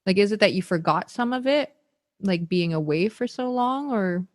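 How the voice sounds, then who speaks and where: A woman's voice: medium pitch, lively intonation, normal speed, no echo, studio quality. A woman hosting a podcast interview in a casual, rambling way, full of filler words. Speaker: podcast host.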